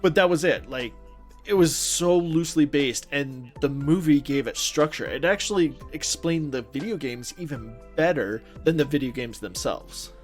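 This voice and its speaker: A man's voice: fairly high pitch, moderate pace, soft background music. A man co-hosting a podcast, chatting casually about films and games in an enthusiastic, emphatic way. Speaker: podcast co-host.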